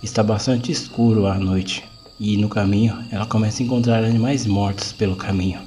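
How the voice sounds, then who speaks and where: A man's voice: fairly low pitch, steady tone, brisk, mellow, slight background noise. A man with a Brazilian accent narrating a scripted horror story.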